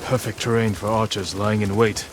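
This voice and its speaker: An actor playing a grim, resolute samurai warrior in a restrained video game drama. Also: male voice, on the low side, little pitch movement, moderate pace, background noise, natural voice. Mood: skeptical.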